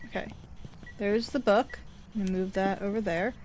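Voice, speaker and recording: A woman's voice: mid-range voice, fairly even intonation, normal speed, darkish tone. Female co-player, mid-range voice, casual gamer talk, slightly dull and noisy voice-chat sound. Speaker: co-player on a game stream. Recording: dull lo-fi voice chat, faint noise.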